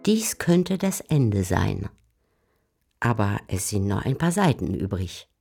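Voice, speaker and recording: A woman's voice: extremely low pitch, sing-song, slow, gravelly, darkish tone, slightly rough, faint music behind. Reflective female literary commentator. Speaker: commentator within a radio play.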